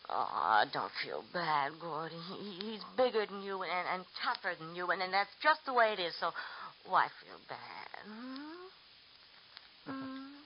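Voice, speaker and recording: A woman's voice: lowish voice, big pitch swings, a little slow. An actress playing a tipsy, sly nightclub woman in a 1940s radio drama, teasing and wheedling with giggles. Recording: dull old radio transcription with faint hiss.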